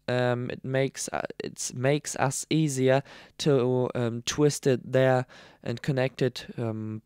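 A man with German as his first language explaining a hands-on build in a tutorial voice-over, halting with many ums and slightly non-native phrasing. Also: medium pitch, smooth, no echo, clean studio sound. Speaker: radio hobbyist demonstrating a build.

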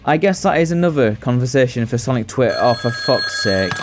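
A man's voice: medium pitch, expressive, fairly quick. A young man giving scripted video commentary with joking asides, sarcastic, sweary and mock-exasperated. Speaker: video essay host. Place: indoors, voice-over. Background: background music.

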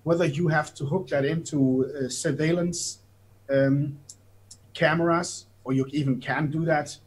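A man with a German accent speaks over a video call from an office, his voice sitting a little high for a man. He explains ideas patiently, piling up examples with small hesitations. He sounds like an invited guest on an interview stream. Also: steady tone, measured pace, low-quality recording. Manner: explanatory, gestures while talking, some hesitant fillers. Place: office room. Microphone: video call webcam.

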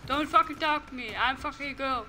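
High-voiced Dutch woman streamer. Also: brisk, sharp tone, roomy sound, slight background noise. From Netherlands. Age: 20s. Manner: chatty, swears a lot at other players. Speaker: gaming streamer. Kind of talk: casual gaming vlog and live commentary. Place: gaming room, seated in a gaming chair.

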